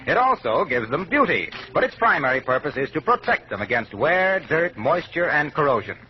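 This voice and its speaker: An American male announcer reads sponsor copy in 1940s radio style. His voice sits fairly high and swings expressively, with the dull sound of an old broadcast.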